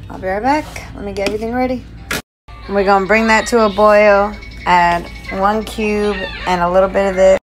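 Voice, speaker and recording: A young woman narrating as she cooks in her home kitchen, talking to the camera in a chatty, casual way. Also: mid-range voice. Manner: chatty, talks to camera while cooking. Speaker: cooking vlogger. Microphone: phone held or propped near stove. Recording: home phone audio with kitchen noise.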